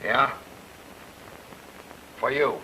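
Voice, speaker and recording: An actor playing a gruff small-town police chief in an old-time radio noir, questioning in a terse, sardonic way. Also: male voice, mid-range voice, drawn-out words. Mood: interrogating, sardonic. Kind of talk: old-time radio detective drama acting. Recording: noisy old radio drama recording.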